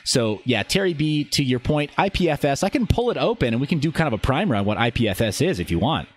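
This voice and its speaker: Male livestream host, medium pitch, casual and digressive, answering chat while demonstrating on screen, some noise in the recording. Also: sing-song, fast talker. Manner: rambling, self-interrupting asides. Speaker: live streamer and channel host.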